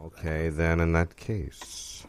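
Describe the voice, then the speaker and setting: A man acting as quizmaster in an improv comedy game, posing open-ended prompts in a measured way. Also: sits low, monotone, clean tone.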